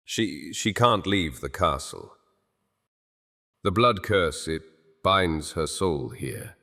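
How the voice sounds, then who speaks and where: A man's voice: deep voice, lively intonation, takes it slowly, creaky, pushed and bright, heavily acted. A man voicing a guilt-ridden male king who stammers and pleads, in an audio drama with long hall reverb. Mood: anguished.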